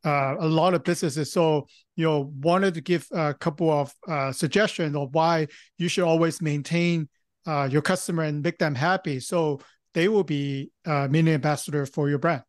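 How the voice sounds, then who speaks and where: A man from Hong Kong, a Cantonese native speaker, talking casually as a podcast guest, often pausing and restarting. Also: a little high, fairly even intonation, no echo, clean studio sound. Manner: halting, many fillers and restarts. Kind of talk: casual interview talk.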